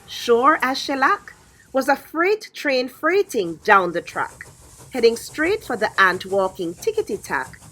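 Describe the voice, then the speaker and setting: A woman reading a rhyming children's story aloud in a rhythmic, dramatic, expressive way. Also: high-pitched. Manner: rhythmic, dramatic, theatrical phrasing.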